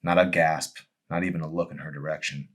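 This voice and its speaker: A man, an author presenting and reading his own novel, with dramatic, deliberate phrasing. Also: very deep voice, flat delivery. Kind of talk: audiobook-style narration with casual introduction.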